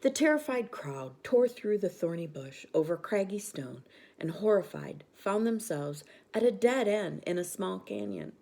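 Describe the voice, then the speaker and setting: A woman reading a children's bedtime story aloud with expressive narration. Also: medium pitch, unhurried, vocal fry.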